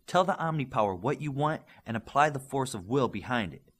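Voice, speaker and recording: A man's voice: mid-range voice, lively intonation, fairly quick, fairly bright tone, slightly rough, voice pushed up. A man voicing a self-assured young female superhero who coaches and explains in an audiobook. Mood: coaching, insistent.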